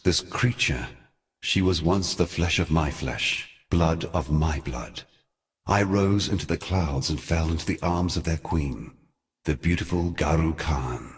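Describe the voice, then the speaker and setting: An actor voicing an ancient, weary and scornful god, delivering grave, formal storytelling for a video game in a measured, theatrical way. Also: male voice, a bass voice. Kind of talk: scripted dramatic video game narration.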